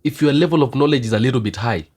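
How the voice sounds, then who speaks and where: Earnest, halting man. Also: mid-range voice, a little mellow, breathy edge. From Kenya. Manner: earnest, halting, many restarts and fillers. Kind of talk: casual debate chat.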